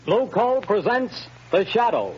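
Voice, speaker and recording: Male announcer for a 1930s sponsor spot, high voice, formal, dull old broadcast. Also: big pitch swings, a little slow, darkish tone. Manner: formal, emphatic delivery. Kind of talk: 1930s radio advertisement. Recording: dull old radio broadcast over music.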